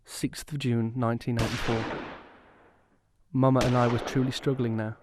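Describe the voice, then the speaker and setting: Man as even-toned bereaved survivor, narrating. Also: medium pitch, moderate pace, background noise, lightly acted. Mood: sombre. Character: bereaved young male survivor recounting family tragedy. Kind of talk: dramatised first-person scripted narration.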